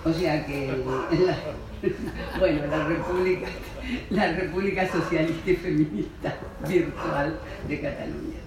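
A man's voice: a little high, fairly even intonation, unhurried. Guy at a panel, chuckles a lot, far from the mic, noisy echoey room. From Spain. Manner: rambling, self-correcting, chuckles often. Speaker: panel speaker. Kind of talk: spontaneous panel talk. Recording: roomy hall from far off, background noise.

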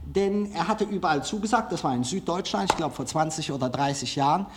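Guy giving a talk in a hall, kinda high voice, halting, puts himself down. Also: lively intonation, background noise. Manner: hesitant, halting, self-deprecating. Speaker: film director giving a lecture. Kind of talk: live public lecture. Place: large formal auditorium, indoors. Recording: live lecture in a hall.

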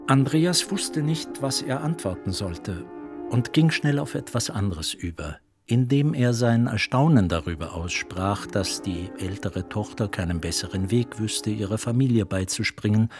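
A man narrating a literary radio play in a measured, composed way from a script. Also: fairly low pitch, expressive, music in the background.

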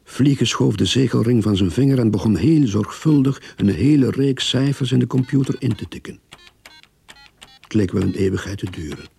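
An actor playing a wry man narrating his own crime story in a radio drama, with a husky voice. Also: medium pitch, animated, moderate pace, warm tone, full character voice. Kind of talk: radio drama acting with first-person narration.

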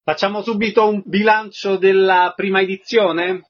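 Man, raspy highish voice, scripted radio interviewer, slightly dull sound. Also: level tone, normal speed.

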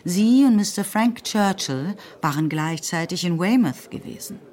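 A woman narrating a literary radio drama in a calm, even, precise way. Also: lowish voice, unhurried. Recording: music under the narration.

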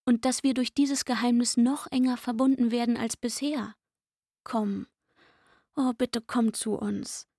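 An actress playing a pleading young chambermaid in a radio play, speaking intimately and wistfully. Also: female voice, fairly high pitch, steady tone, unhurried, mellow, no echo, clean studio sound. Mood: wistful, hopeful. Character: pleading young chambermaid luring a man into secret shelter. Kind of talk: literary radio play acting.

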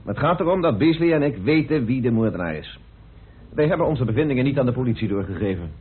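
An actor playing a methodical, insistent amateur detective in a radio play, speaking deliberately with theatrical pauses as he accuses. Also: mid-range voice, animated, close to an everyday voice. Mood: challenging, insistent. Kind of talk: radio play acting in Dutch. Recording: muffled old radio drama recording.

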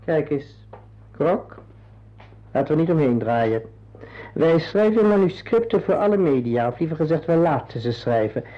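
A man acting a pushy, menacing script-agency boss in a radio play, smooth-talking but quick to bark orders. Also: a little high, lively intonation, close to an everyday voice. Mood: smooth, persuasive. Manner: domineering, curtly barks orders, smooth-talking when persuading. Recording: dull, slightly noisy old radio recording.

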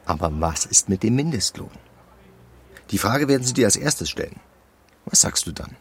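An actor playing a pushy, blunt activist in a radio drama, husky and insistent, talking in a colloquial, prodding way. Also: male voice, lowish voice, animated, moderate pace, slight background noise, natural voice. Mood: insistent, instructing. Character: pushy, blunt male activist coaching a young woman. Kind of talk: naturalistic radio drama acting.

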